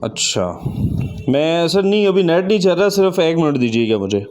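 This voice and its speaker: A man's voice: on the high side, lively intonation, normal speed, darkish tone, soft background music. A man talking on a phone call in a polite, deferential manner, often repeating himself. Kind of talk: phone conversation. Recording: clearly noisy field recording.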